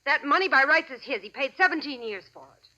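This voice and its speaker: An actress playing a hard-boiled, sardonic American gangster's moll with tough, sarcastic slang, in 1940s radio crime drama style. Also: female voice, high-pitched, lively intonation, fast talker, bright, forceful tone. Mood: disapproving. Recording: dull, band-limited old radio recording.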